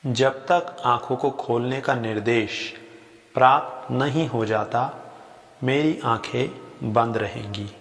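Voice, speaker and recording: A man guiding a sleep meditation with gentle, unhurried instructions in short phrases with long pauses between them. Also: medium pitch. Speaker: yoga nidra guide. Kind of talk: guided sleep meditation, slow spoken instructions. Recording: long reverb on the voice.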